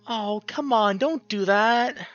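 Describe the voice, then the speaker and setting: A man acts out an earnest, caring male bear from a visual novel, pleading and emphatic. The voice sits high and the recording is slightly dull, with music underneath. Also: slow, a bit bright. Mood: upset, exasperated. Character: Devon, male bear, caring but exasperated young partner.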